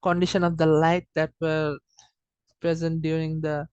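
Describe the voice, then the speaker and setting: A student giving an informal data presentation in a hesitant, halting way, often repeating and correcting themselves. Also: mid-range voice, flat delivery, darkish tone, smooth, dry recording, muffled recording.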